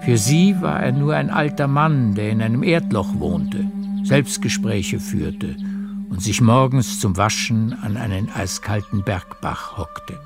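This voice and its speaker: A man narrating a literary radio play in a measured storytelling manner. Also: medium pitch. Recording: music behind the narration.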